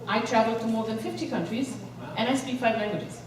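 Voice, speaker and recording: Woman motivational speaker from Egypt, high and energetic, miked from a distance in a big echoing hall. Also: quick pace. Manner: energetic, rallies the crowd with questions. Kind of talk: inspirational speech to a live audience. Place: large event hall. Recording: echoey hall, voice from far off.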